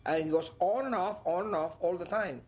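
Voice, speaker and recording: A man's voice: high voice, fairly quick, very noisy. A man born in Cuba, an air crash investigator, recounting events casually in a documentary interview, with fillers and repeated words.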